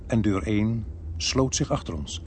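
A man playing a police officer who narrates a crime radio drama in a measured, suspenseful way. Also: on the low side, animated, darkish tone, lightly acted. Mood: tense, measured. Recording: noisy archived radio drama recording.

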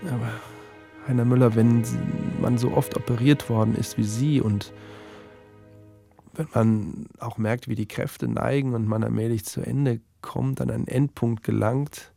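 Man, interviewer, fry in the voice, mid pitch, halting, insistent, music behind. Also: steady tone, mellow.

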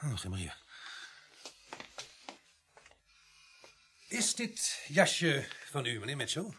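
A police sergeant in a radio drama. He is calm, polite and dryly insistent, with a mid-pitched voice that moves up and down a lot. Faint noise and clicks sit on the recording. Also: moderate pace. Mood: suspicious. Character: calm, dogged male police sergeant questioning a suspect. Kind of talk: Dutch radio play acting.

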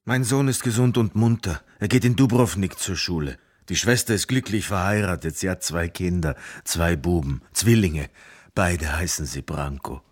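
An actor playing a plain-spoken travelling chestnut seller in a radio drama, with a husky voice and a simple, deliberate way of talking. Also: male voice, deep voice. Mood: earnest.

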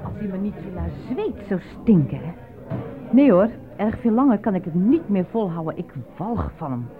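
Een actrice die in een hoorspel een vermoeide, klagende werkende vrouw speelt die graag kletst. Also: female voice, mid-range voice, very animated, moderate pace, music in the background, close to an everyday voice. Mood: disgusted. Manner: chatty, complaining. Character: weary, disgusted, gossiping working woman. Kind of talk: radio play acting. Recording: tinny radio recording with crowd behind.

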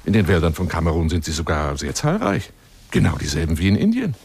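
A man's voice: deep voice, big pitch swings, quick pace, creaky, darkish tone, a little hiss or hum, heavily acted. An actor in a radio monologue playing a florid, wheedling lion hunter who courts a woman over the phone in an intimate, theatrical way. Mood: insistent, pompous. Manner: grandiloquent, wheedling, intimate, dropping into whispers.

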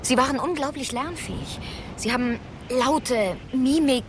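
An actress playing an earnest, defensive scientist, explaining insistently in a radio drama. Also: female voice, high-pitched, unhurried, background noise. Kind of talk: German radio drama acting.

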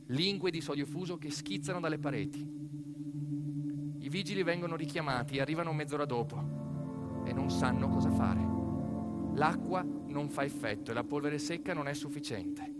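A man's voice: fairly high pitch, normal speed, over loud music. A man performing a dramatic storytelling monologue, with emphatic, building, repeated phrases. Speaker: stage actor and narrator of a documentary monologue.

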